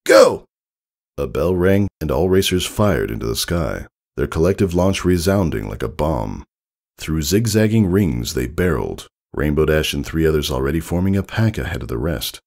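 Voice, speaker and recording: A man narrates a story from a script. His voice is very deep and creaky, with fry in it. The intonation swings widely as he reads, keeping the delivery expressive. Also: normal speed. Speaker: fan fiction reader.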